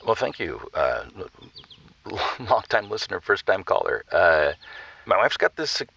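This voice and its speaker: Reluctant married caller: very low, punchy voice, earnest and baffled, halting delivery for an audio drama phone-in. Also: male voice, big pitch swings, vocal fry, bright, forceful tone, breathy edge, dull audio, heavily acted. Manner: halting, emphatic stammering.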